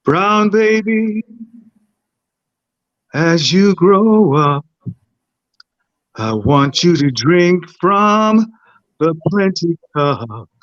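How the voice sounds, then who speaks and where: A man's voice: a little high, sing-song, no room sound, full character voice. A man as a tender parent blessing his baby. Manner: slow, soothing, half-sung blessings. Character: tender father figure feeding his baby girl. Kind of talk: improvised playback theatre. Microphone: video call. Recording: dull lo-fi call audio.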